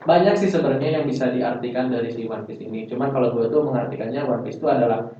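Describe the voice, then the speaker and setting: A man's voice: medium pitch, fast talker, warm tone. Young male podcast guest, thin echoey sound. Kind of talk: casual chat, explaining. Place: indoor podcast studio. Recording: very echoey, distant, thin phone-like sound, faint noise.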